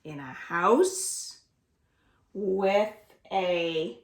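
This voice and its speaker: Slow-paced woman reading to children, roomy echo. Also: medium pitch, expressive. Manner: slow, deliberate delivery with dramatic emphasis for young children. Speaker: library storytime host. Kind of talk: expressive children's read-aloud and singalong. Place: indoors at home. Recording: roomy, echoing home recording.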